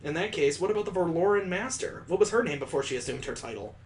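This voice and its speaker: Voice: medium pitch, lively intonation, quick pace, a little hiss or hum, lightly acted. A let's player voicing a curious, polite student in a visual novel. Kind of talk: visual novel character reading.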